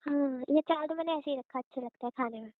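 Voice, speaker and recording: A woman acts as a playful young wife in a homely audio drama. Her voice is high and she talks informally, teasing with affection and a stubborn streak. It comes through a thin phone-like line with a roomy echo. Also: quick pace, natural voice. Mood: teasing, cheerful. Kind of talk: casual domestic audio roleplay.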